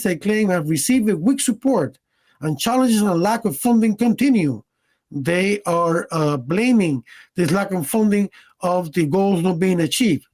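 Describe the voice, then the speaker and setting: A man's voice: high-pitched, a little mellow. A man from Cuba, giving a slide presentation as a director of education. He speaks earnestly, pressing hard on single words, and stumbles into fillers and restarts when reading out details.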